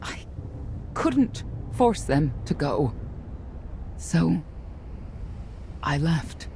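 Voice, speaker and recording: Creaky-voiced, weary, stern warrior mother. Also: low voice, sing-song, drawn-out words, dark tone, slight background noise, heavily acted. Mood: sorrowful, bitter. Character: weary, guarded middle-aged mother, former queen turned stern knight. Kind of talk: dramatic video game dialogue.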